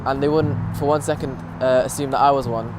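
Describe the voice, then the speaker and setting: A man's voice: medium pitch, steady tone, fairly quick. A young man, a student, speaking thoughtfully and searching for words in a documentary interview. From raised in Manchester, born in London. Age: young adult. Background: music.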